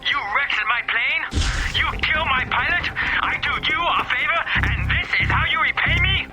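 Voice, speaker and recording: A man's voice: very high voice, lively intonation, normal speed, over music, poor audio. An actor playing a volatile, menacing male crime boss in a video game, taunting and swaggering as he gives foul-mouthed orders. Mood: angry. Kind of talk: video game cutscene voice acting.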